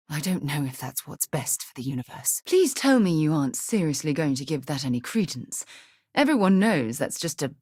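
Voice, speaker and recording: A woman voicing an earnest, principled space explorer and loyal companion in a video game, speaking in an articulate, measured way. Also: low voice, a little mellow, slightly rough, no room sound, clean studio sound. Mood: troubled. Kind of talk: video game character voice lines.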